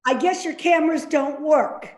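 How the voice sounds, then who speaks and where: A woman's voice: high voice, normal speed, close to an everyday voice. A woman playing a stubborn, accusing, ailing elderly mother in a readers theater drama, speaking in terse retorts. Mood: accusing, defiant. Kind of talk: readers theater stage acting. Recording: echoey room sound.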